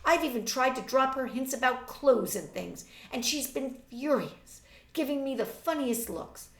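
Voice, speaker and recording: A woman's voice: sits high, lively intonation, unhurried, pitched above the performer's own voice. A woman voicing an exasperated, catty eighteen-year-old society girl in a storybook reading, emphatic and scornful. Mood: catty, impatient. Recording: roomy, distant webcam microphone.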